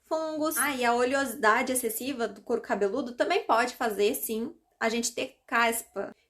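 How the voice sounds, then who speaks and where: A woman's voice: high-pitched, a bit bright. A young woman from Brazil chatting casually to her viewers, explaining with frequent asides. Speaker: vlogger. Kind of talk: casual talk to camera.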